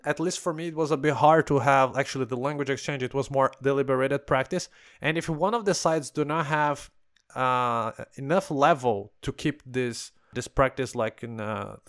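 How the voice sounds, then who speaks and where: A man with a smooth, clear voice at a middling pitch hosts a relaxed conversational interview. He speaks non-native English in an explanatory way, restarting words as he builds toward his questions. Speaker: podcast host.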